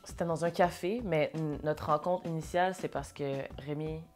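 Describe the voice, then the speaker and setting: A woman's voice: sits low, fairly even intonation, moderate pace, music in the background. A woman from Montréal with a Québécois French accent, chatting casually as an interview guest and mixing English phrases into her French. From Montréal, Québec. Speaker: interview guest, singer and dancer.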